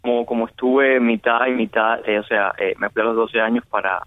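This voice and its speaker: A man's voice: medium pitch, steady tone, brisk, no room sound. A Cuban man with a Cuban Spanish accent, a guest actor chatting casually in an interview, laughing often and rambling with fillers. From Cuba. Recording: muffled lo-fi line.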